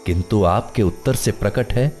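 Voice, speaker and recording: A man's voice: lowish voice, moderate pace, a little mellow, natural voice. An actor playing an aloof, philosophical man who lives alone, speaking formal, literary lines in a radio play over music. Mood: lofty, philosophical. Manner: formal, literary, argumentative diction.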